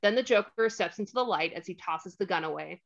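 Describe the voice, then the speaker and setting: A woman's voice: mid-range voice, animated, a little fast, vocal fry. A woman reading scripted stage directions as narrator of a screenplay table read, on a slightly dull microphone.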